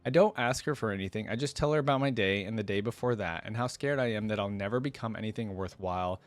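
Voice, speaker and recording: Low-voiced, bitter young slum inventor read by a man. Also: fast, dry recording, soft background music, close to an everyday voice. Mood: sad, resigned. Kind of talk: first-person story read aloud.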